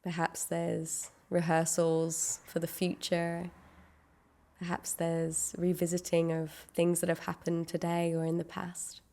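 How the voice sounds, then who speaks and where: A woman leading a guided meditation, giving gentle, unhurried instructions with long pauses. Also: lowish voice, monotone, creaky.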